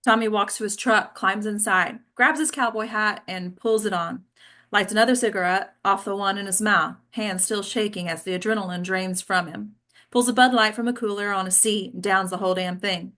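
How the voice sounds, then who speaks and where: A woman's voice: medium pitch, moderate pace, sharp tone. Woman narrating screenplay stage directions. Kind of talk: scripted narration, screenplay reading.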